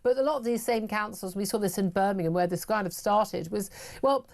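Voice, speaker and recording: A woman's voice: mid-range voice, fairly quick, creaky, a little mellow. A woman hosting a political talk radio show, emphatic and sarcastic. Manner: emphatic and sarcastic, many fillers.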